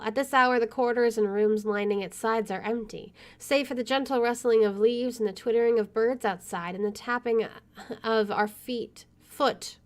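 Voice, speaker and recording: A woman hosting a gaming video, reading visual novel narration aloud with casual asides. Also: fairly high pitch.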